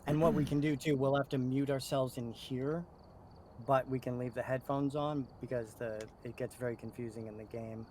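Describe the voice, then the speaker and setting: A man's voice: medium pitch, fairly even intonation, moderate pace, darkish tone, a little hiss or hum. A man co-hosting a podcast, chatting casually and informally with enthusiasm. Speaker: podcast co-host. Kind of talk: casual chat about horror games.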